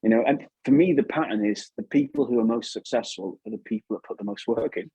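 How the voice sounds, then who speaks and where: A man's voice: mid-range voice, fast talker, mellow, breathy edge, dry recording, rough recording. A man giving casual advice as an interview guest, chatty and repeating himself for emphasis. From England.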